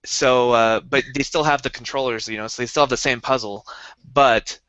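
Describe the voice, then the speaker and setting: Young male podcast co-host, eager rambling explainer, crisp bright tone, dry dull recording. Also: medium pitch, fairly even intonation, normal speed, dry recording. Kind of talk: casual chat.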